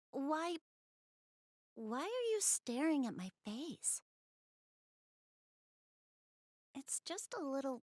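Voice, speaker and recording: Bashful young anime game goddess, high voice, very dry studio sound, earnest, bookish, polite. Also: female voice, darkish tone, dry recording, heavily acted. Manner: hesitant, bashful, polite, rambling about hobbies. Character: shy, bookish young goddess girl, soft-spoken and earnest. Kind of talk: English video game dub, visual novel dialogue.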